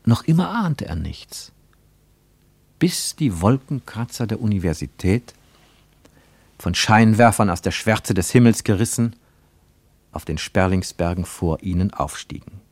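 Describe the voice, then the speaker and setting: An actor narrating a literary radio play as a grave, reflective storyteller in a measured manner. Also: male voice, on the low side, expressive, a little mellow, breathy edge, no room sound, exaggerated voice. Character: grave, reflective male storyteller of a travel tale.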